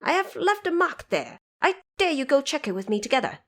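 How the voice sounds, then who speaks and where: A woman's voice: high-pitched, fairly quick, voice pushed up. Brash monkey king played by a woman: raised, swooping voice, challenging and full of himself, for fantasy audio drama. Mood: boastful, defiant. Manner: boastful, excitable, challenging. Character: brash, cocky male monkey king, defiant and boastful.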